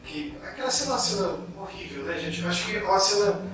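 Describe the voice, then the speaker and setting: A man from Goiás in Brazil co-hosting a political talk show, talking in an indignant, halting way with many restarts. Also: high voice, lively intonation, very echoey, far from the mic, music in the background, rough recording. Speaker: political commentary co-host. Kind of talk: casual political discussion.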